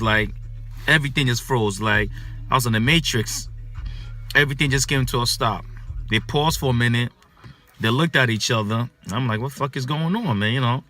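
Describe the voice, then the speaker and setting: Man, bright tense voice, middle pitch; loose, repetitive storyteller. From Ghana, raised in the United States. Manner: animated, repetitive, peppered with "man" and "you know". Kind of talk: casual personal storytelling.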